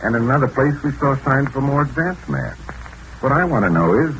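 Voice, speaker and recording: An actor playing a level-headed, probing male adventurer in an old-time radio serial, speaking in a measured, serious way. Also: medium pitch, sing-song, a bit bright. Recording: slightly noisy old radio recording.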